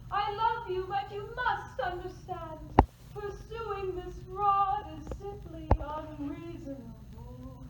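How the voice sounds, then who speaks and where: A motherly swan, acted by a woman in a comic stage fantasy, with an extremely high voice. She coaxes and disapproves in a sing-song lilt, heard from a distance in a roomy space. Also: takes it slowly. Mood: gently coaxing. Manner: sing-song, coaxing delivery. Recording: roomy, distant stage recording, faint noise.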